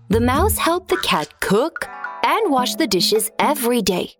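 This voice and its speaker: A woman narrating a scripted children's story as a storyteller. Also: on the high side.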